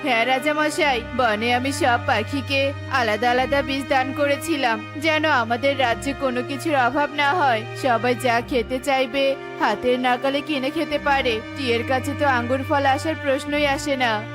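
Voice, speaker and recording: A woman's voice: sits high, fairly even intonation, normal speed, lightly acted. A woman playing an earnest, sensible bird minister in a children's cartoon, explaining politely with music behind.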